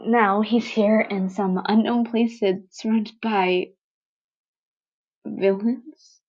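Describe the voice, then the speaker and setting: A woman narrator with a mid-pitched voice reads a dramatic story. Her delivery is very slow, brooding and halting, and the home recording sounds somewhat dull. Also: fairly even intonation, darkish tone. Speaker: fan fiction narrator.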